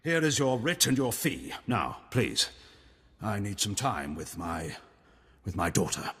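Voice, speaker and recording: Imperious admiral, forceful raspy voice, formal, emotional, theatrical game acting, long reverb. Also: male voice, fairly low pitch, big pitch swings, measured pace, exaggerated voice. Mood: moved, subdued. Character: imperious Sith admiral, anguished doting father.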